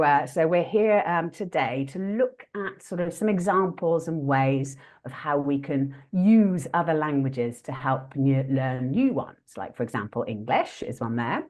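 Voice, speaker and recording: A woman hosts an interactive online teaching session. Her voice sits low but swings widely in pitch. She talks in a friendly, lively way, with plenty of fillers and self-corrections. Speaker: workshop host.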